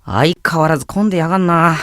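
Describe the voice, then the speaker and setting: A man's voice: a little high, very fast, sharp tone, no room sound, studio quality. A man voicing a gullible, melodramatic teenage schoolboy as the comic sidekick in a Japanese visual novel. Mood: brash. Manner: melodramatic, swinging from shrieks to mournful murmurs.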